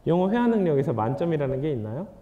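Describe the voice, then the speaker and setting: A man's voice: fairly high pitch, very animated, racing, phone-quality sound. A young adult man giving a lecture, asking the audience questions in a candid, conversational way. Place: lecture hall. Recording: hall reverb.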